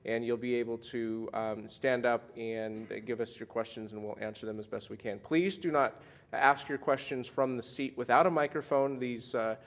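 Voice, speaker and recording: A man moderating a conference panel, giving housekeeping notes and introducing speakers with some ums. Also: medium pitch. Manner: orderly, housekeeping announcements with fillers. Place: conference session room.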